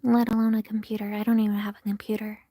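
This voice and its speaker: A woman with a breathy, gravelly, half-whispered voice chats with her live-stream viewers while she draws, candid and easygoing. Her tone is mellow and soft rather than bright, and the sound is close and dry, caught on her phone. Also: medium pitch, flat delivery, fast talker, dry recording. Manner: chatty and candid, talks while working. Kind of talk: casual livestream chat answering viewers.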